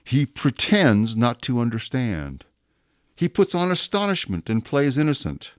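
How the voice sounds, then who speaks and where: A man's voice: medium pitch, wildly swooping pitch, measured pace, no echo, studio quality. A man playing a rigid, bitter police inspector in a period audio drama, speaking in a clipped, emphatic, formal way. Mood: brisk, scornful. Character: rigid, self-punishing male police inspector, stern and bitter. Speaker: actor. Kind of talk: radio-style period drama acting.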